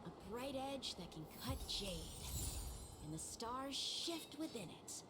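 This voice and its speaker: High, musing young swordswoman. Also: sing-song, takes it slowly, heavy background noise. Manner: musing, poetic. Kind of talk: video game voice acting. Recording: game audio under loud music.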